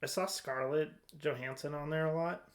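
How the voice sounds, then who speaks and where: A man's voice: on the high side, fairly even intonation, normal speed. A man hosting a casual podcast discussion, conversational and a little hesitant, often trailing off. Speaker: podcast host.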